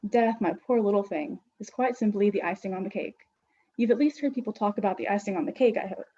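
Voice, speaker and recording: A woman voicing Death, an ancient female skeleton who lectures with weary scorn and grand airs, her husky voice on a dull lo-fi recording. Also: mid-range voice, fast, dark tone, natural voice. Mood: grandiloquent.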